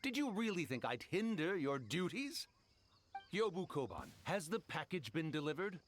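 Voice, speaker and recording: A man's voice: a little high, big pitch swings, normal speed, slight background noise. A man playing a proud, grumpy old tanuki spirit who guards a forest, pompous and theatrical, in an anime video game. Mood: smug, scolding. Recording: faint music behind the voice.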